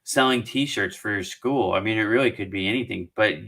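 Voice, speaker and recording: A man hosting a casual live-streamed Q&A on job searching, animated and joking, with quick restarts. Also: on the low side. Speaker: career advice show host.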